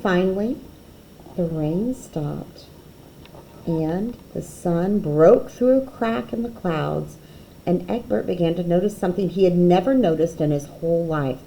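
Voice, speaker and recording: A woman reading a children's picture book aloud expressively, with dramatic pauses and emphasis. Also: fairly low pitch, creaky, dark tone, slight background noise, low-quality recording.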